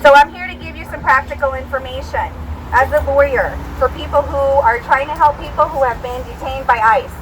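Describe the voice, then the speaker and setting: A middle-aged woman from Puerto Rico, an attorney, speaking plainly and earnestly, with pauses and fillers. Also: sits high, poor audio. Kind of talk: rally speech and interview. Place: outdoor street rally. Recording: outdoor rally with street noise.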